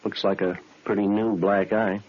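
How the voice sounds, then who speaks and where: Deep deadpan radio police detective, played by a man. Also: moderate pace, close to an everyday voice. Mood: terse, probing. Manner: deadpan, matter-of-fact. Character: terse, methodical male police detective sergeant, narrator. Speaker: lead actor and narrator. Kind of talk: 1950s radio police drama, clipped narration. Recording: muffled old radio recording with music.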